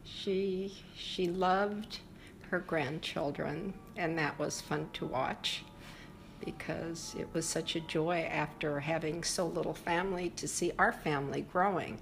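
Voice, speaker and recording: An older woman being interviewed about her family history, speaking reflectively and pausing often with fillers. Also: low voice, steady tone, gravelly, faint music behind, poor audio. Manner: reflective, hesitant with frequent fillers.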